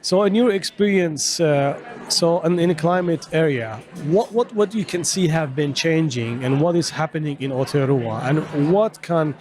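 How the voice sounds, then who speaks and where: A man hosting an informal interview at a festival, asking long, halting questions in non-standard English. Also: on the high side, darkish tone, slight background noise. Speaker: podcast host, interviewer. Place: festival venue.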